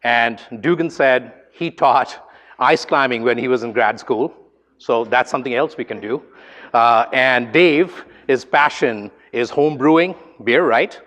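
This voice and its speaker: An enthusiastic male professor introduces the speakers at a campus event, brisk and unscripted. His mid-range voice echoes in a large hall. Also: medium pitch, a bit bright. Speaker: host and professor of entrepreneurship. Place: large lecture hall, amplified.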